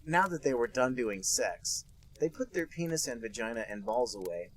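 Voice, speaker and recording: A man co-hosting a comedy podcast, reading a fanfic aloud in a deadpan way while holding back laughter. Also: mid-range voice, normal speed, rough recording.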